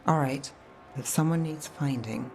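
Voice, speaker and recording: A woman's voice: a bass voice, unhurried, a little mellow, a little hiss or hum. A woman playing a wry, world-weary private detective in a fantasy game, with a dry, sardonic way of talking. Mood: sardonic, businesslike. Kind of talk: cinematic fantasy game dialogue. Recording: music behind the voice.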